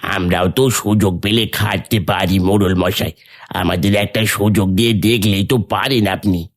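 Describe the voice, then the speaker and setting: A man playing a poor, resentful, scheming village idler in an animated story, given to complaining and wheedling. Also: deep voice, moderate pace. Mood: pleading.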